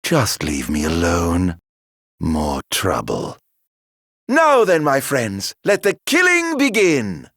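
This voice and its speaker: Theatrical mocking villain, wildly swooping voice. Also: male voice, lowish voice, huge pitch swings, a bit bright, no echo, clean studio sound, heavily acted. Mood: dry disdain. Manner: flamboyant, sardonic, mock-polite, drawn-out delivery. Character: theatrical, mocking middle-aged male villain, sardonically genteel. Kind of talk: video game battle voice lines.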